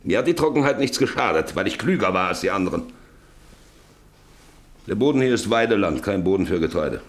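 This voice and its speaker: A man's voice: on the low side, animated, brisk, lightly acted. An actor in a German radio drama playing a stern, domineering middle-aged farmer father who speaks bluntly and commandingly. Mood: angry, domineering. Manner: commanding, blunt, cutting others short.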